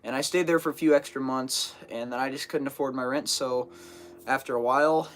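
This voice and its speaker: A man's voice: mid-range voice, fairly even intonation, a little fast. Teenage guy rambling on a live stream. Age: teens. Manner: rambling, many fillers and restarts. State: getting over a cold, sniffling. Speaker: fishing channel host. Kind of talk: casual live stream chat.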